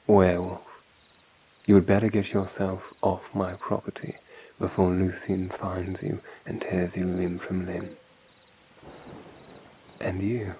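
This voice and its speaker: A man playing a stern, coolly commanding vampire of an old family in an ASMR roleplay, speaking in a measured, formal, authoritative way. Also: sits low, warm tone, slight background noise, poor audio. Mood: stern, threatening.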